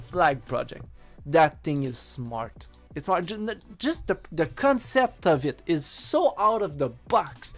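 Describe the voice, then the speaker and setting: Chatty rambling coding streamer man. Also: on the high side. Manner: rambling and chatty, many fillers, enthusiastic about his tools. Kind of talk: casual live-stream chat while coding.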